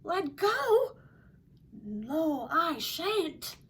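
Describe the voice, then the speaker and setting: A woman's voice: very high-pitched, sing-song, drawn-out words, voice pushed up, full character voice. A woman voicing a timid, stubborn male koala, hesitant and pleading, in a children's storybook. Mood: panicked. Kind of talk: children's storybook read-aloud.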